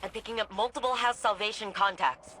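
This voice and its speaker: An actress playing an earnest, resourceful scientist in a video game, explaining plans in an eager, conversational way. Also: female voice, medium pitch, fairly quick, pushed and bright, a little hiss or hum, close to an everyday voice. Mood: urgent. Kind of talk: video game mission briefing.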